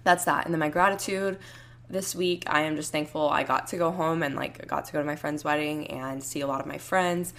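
A young woman in her twenties hosting a solo podcast from home, her voice hoarse and raspy, rambling casually with lots of fillers. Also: deep voice, steady tone, brisk, a bit bright. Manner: rambling, heavy use of "like" and "um". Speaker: podcast host.

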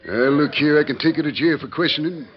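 Bossy, higher-voiced Western sheriff, played by a man. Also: quick pace, natural voice. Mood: suspicious. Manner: bossy, interrogating. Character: gruff, suspicious sheriff of the Old West. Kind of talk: classic radio Western acting. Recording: dull, lo-fi old radio recording.

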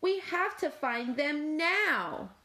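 A woman's voice: high-pitched, lively intonation, sharp tone, voice pushed up, full character voice. A woman voicing a bossy male cat from a nursery rhyme who hurries the others along. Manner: commanding, hurried.

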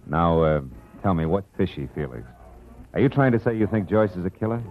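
An actor playing a wry, skeptical American private detective in a clipped, world-weary 1940s radio style. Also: male voice, sits low, expressive, brisk. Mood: businesslike. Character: hard-boiled male private detective, wry, skeptical, narrating his case. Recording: muffled old radio recording, music underneath.

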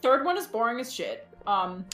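A woman speaks in a fairly high voice with noticeable room echo. She chats casually as a podcast guest, full of firm opinions, enthusiasm and casual profanity. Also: wildly swooping pitch, normal speed, over music. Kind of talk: casual chat.

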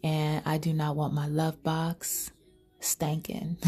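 A woman's voice: deep voice, completely flat delivery, slow, mellow, slightly rough. A woman hosting her own podcast, improvising erotic storytelling in a sultry, half-whispered, intimate way. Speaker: podcast host.

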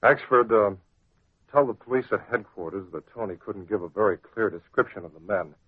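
A man playing a brisk, composed newspaper boss with an authoritative, matter-of-fact manner in vintage radio drama. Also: lowish voice, husky. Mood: serious. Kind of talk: 1940s radio acting. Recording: narrow, tinny old radio recording.

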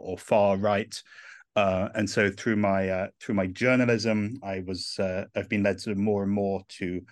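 A man's voice: sits low, dry recording, clean studio sound. A man in his thirties with an English accent, speaking as an author in an interview, thoughtful and full of "uh" fillers. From England. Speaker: author and guest. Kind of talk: podcast interview.

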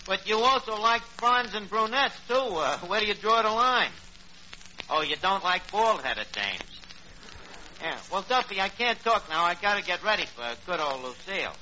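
An actor playing a cocky, blustering tavern manager with a New York accent, mangling big words with pompous confidence in a radio comedy. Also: male voice, high-pitched, expressive, normal speed, pushed and bright, exaggerated voice. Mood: breezy, boastful. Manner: malapropisms delivered with pompous confidence. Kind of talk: 1940s radio comedy acting. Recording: dull, noisy old radio recording.

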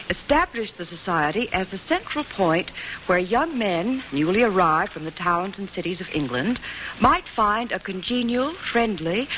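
An actress playing a supportive, sensible preacher's wife in an old-time radio drama, genteel and carefully articulated. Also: female voice, medium pitch, fairly bright tone. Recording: noisy vintage radio drama recording.

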